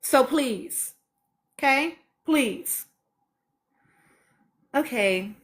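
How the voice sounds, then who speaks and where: A woman's voice: sits high, expressive, drawn-out words. A woman hosting a live stream, giving impassioned, informal commentary to her audience and repeating phrases for emphasis. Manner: emphatic, repeats phrases for stress, urging listeners.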